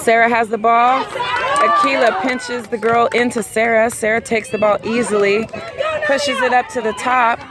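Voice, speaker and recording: A woman doing play-by-play of a youth soccer game outdoors, hoarse and losing her voice. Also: on the high side. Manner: rapid play-by-play, rising excitedly at key plays. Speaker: amateur sideline commentator. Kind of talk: play-by-play commentary. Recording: outdoor crowd and traffic noise.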